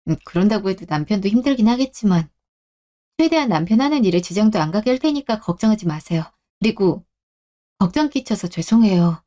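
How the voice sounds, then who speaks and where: A woman playing a gentle, apologetic young wife who speaks politely and softly in a radio drama. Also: medium pitch, lively intonation, brisk, close to an everyday voice. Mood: polite, reassuring. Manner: soft-spoken, conciliatory, polite. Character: gentle, apologetic young married woman, a patient daughter-in-law. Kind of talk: Korean radio drama acting.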